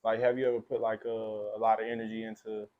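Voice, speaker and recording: A man's voice: lowish voice, little pitch movement, fast, narrow, tinny audio. A man hosting a podcast, asking laid-back, slangy questions. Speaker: podcast host. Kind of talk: casual interview.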